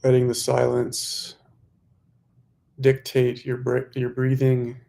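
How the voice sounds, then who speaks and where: A man leading a guided meditation, giving gentle instructions in unhurried phrases with pauses. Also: mid-range voice, completely flat delivery, creaky.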